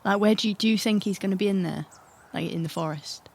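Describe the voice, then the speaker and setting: A woman's voice: medium pitch, fast talker, vocal fry, darkish tone, husky. A woman player improvising casually in a tabletop role-playing game, hesitant and questioning, close on the mic. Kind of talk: casual in-character improv chat.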